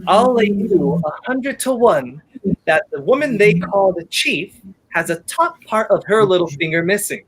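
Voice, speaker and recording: A young man acts out a wry gentleman on the run in 1930s radio style. His voice is a little rough and very animated, moving nimbly up and down as he sharpens each remark. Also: high-pitched, unhurried, full character voice, low-quality recording. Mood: wry, smug. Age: young adult. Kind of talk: 1930s radio play acting.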